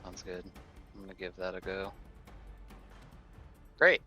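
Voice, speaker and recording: A man's voice: low voice, unhurried, sharp tone, soft background music. A man playing a tabletop roleplaying game, chatting casually about his moves and rolls.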